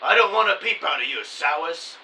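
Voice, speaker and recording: An actor playing a short, plump, middle-aged gangster who barks threatening orders in old-time mobster slang in an audio drama. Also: male voice, on the high side, lively intonation, a little fast, bright, forceful tone. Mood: gruff, irritated. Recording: slightly distant, hissy home recording.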